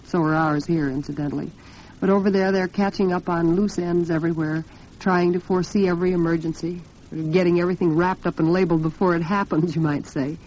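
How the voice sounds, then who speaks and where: A woman's voice: on the low side, fairly even intonation, fairly quick, darkish tone, slight background noise. An American woman hosting a 1940s radio talk program, speaking in an earnest, articulate and polished way. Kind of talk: 1940s radio women's talk program, commentary and sponsor read. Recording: dull lo-fi 1940s radio recording.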